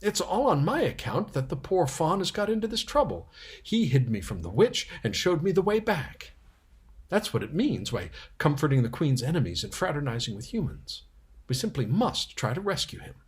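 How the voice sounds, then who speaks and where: A man's voice: a little high, sing-song, normal speed, husky, pitched above the performer's own voice, exaggerated voice. A man voicing an earnest, kind-hearted young girl in a storybook, speaking emphatically and imploringly. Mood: urgent pleading.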